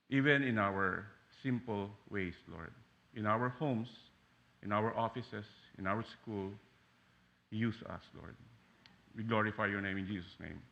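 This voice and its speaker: A man in his forties from the Philippines with a Filipino accent, preaching a sermon as a guest pastor in a church, animated and joking with frequent fillers and restarts. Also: fairly low pitch, highs cut off. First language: Taglish. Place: church sanctuary.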